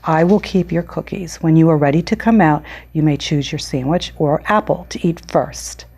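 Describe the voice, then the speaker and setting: Woman reading as firm, mellow storybook schoolteacher lady. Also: deep voice, moderate pace, slightly rough. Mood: firm, calm. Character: firm, kindly female teacher of young children. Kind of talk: picture-book read-aloud.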